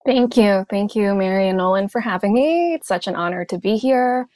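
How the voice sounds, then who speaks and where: A woman from Hong Kong whose first language is Cantonese, a therapist guest telling her story in a thoughtful, measured way. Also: medium pitch, darkish tone, no room sound, clean studio sound. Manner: thoughtful, measured, speaks in lists. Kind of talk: reflective personal storytelling.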